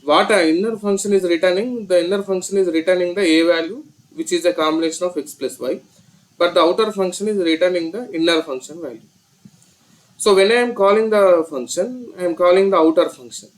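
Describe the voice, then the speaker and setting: A man's voice: high voice, fairly quick, low-quality recording. A man with an Indian accent explaining step by step and repeating himself as a programming instructor in a tutorial. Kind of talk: screen-recorded tutorial explanation.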